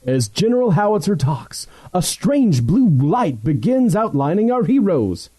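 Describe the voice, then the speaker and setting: A man's voice: high-pitched, very animated, a little slow, dark tone, husky, full character voice. A man playing a grandiose, melodramatic announcer who narrates a parody old-time radio adventure serial. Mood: suspenseful. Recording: music behind the voice.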